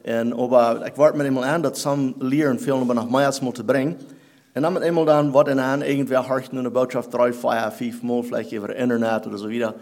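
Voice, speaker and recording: A man whose first language is Plattdeutsch, preaching a sermon in halting German that he mixes with English phrases. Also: medium pitch, fairly even intonation, a little mellow. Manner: halting, searching for German words, mixing in English.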